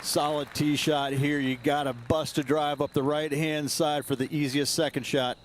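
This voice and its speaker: A man's voice: a little high, little pitch movement, normal speed, some chatter behind, a little hiss or hum, close to an everyday voice. A man voicing a confident golf analyst in a video game, advising on shots and strategy. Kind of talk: video game sports commentary.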